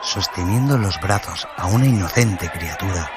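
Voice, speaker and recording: Story narrator: male, deep-pitched, solemn and dramatic, reading over loud background music. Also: expressive, moderate pace.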